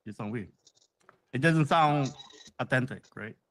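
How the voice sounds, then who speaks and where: A man's voice: mid-range voice, unhurried. A man in casual banter, talking bluntly with slang and free swearing over a noisy background. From United States. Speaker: community member in the chat.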